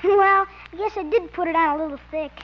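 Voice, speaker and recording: A woman's voice: very high voice, fast. A woman playing an eager, over-polite little schoolboy in a 1950s radio comedy, speaking with sweet earnestness. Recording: noisy, muffled old radio recording.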